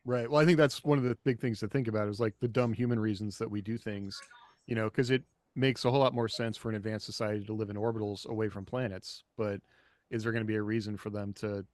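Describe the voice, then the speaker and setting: A man's voice: lowish voice, steady tone, fast talker, darkish tone, no echo. A man hosting a podcast, talking casually and leading the discussion. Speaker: podcast host and author.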